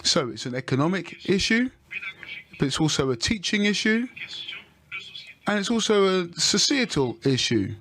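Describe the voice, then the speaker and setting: A man moderating a panel, explaining points and handing over questions in a measured, polite way. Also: sits high, big pitch swings, a bit bright, a little hiss or hum.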